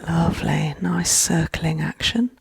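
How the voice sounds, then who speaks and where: A woman with a gentle, hushed, breathy voice leading a soft-spoken ASMR guided relaxation, soothing and often close to a whisper. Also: sits low, fairly even intonation, a little slow, dry recording.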